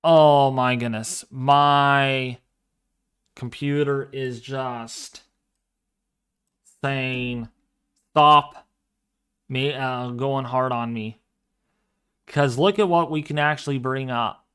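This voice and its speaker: A man in his twenties hosting a casual livestream, talking through an astronomy program with excitable exclamations as he thinks aloud. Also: mid-range voice, drawn-out words, pauses often, smooth. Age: 20s. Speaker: livestream host.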